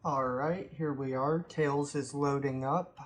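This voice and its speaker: A man hosting a tech tutorial, calmly explaining each step of a computer walkthrough with occasional ums. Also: mid-range voice. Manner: unhurried step-by-step explaining with fillers. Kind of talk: screen-recorded how-to walkthrough.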